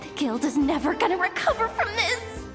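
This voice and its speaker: A woman's voice: very high voice, sing-song, normal speed, music in the background. A woman playing a frazzled, anxious young guild organizer in a video game, fretful and dramatic. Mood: panicked. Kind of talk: video game character acting.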